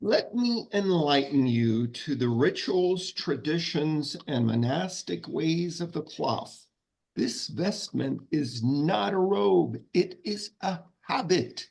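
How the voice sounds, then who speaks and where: A man playing a slow, gravelly, pompous scolding monk. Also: on the high side, animated, darkish tone, exaggerated voice. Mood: lecturing. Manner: pompous, lecturing. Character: pompous, stern traditionalist male monk, scolding. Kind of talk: table read of a comedy script.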